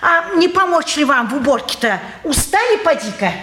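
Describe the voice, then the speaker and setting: A sly, brisk, middle-aged townswoman in an old stage drama. Her high, bright voice swoops through folksy, sing-song patter full of pious sayings. The recording is a roomy 1950s theatre production. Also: sing-song, slight background noise, full character voice. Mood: folksy, lamenting. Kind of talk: mid-century Russian stage acting.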